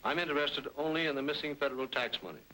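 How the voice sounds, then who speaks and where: An actor playing a firm, formal army major in an old-time radio Western, speaking with measured authority. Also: male voice, fairly high pitch, sharp tone, low-quality recording. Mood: stern, official. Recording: old radio drama with faint music.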